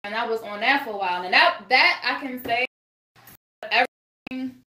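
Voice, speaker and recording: A woman's voice: fairly high pitch, moderate pace, sharp tone. A woman singer talking casually as a podcast guest, rambling and swearing, with many restarts. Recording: echoey room.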